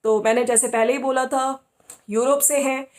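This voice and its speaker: A woman's voice: sits high, steady tone, normal speed, darkish tone. A woman giving casual opinion commentary as a host, talking conversationally and often checking for agreement. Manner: conversational, often seeking agreement with tag questions.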